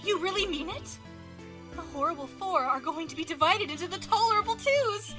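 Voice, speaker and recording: Woman playing excitable cartoon mom, very high animated voice, music behind. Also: fairly quick. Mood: excited. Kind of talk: cartoon parody voice acting.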